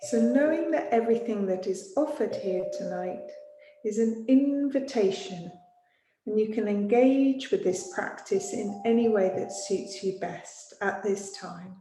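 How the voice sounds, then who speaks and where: A woman teaching yoga nidra, guiding a sleep meditation in a gentle, soothing, unhurried manner with long pauses. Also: mid-range voice, noisy background. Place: small studio. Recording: roomy echo, music behind voice.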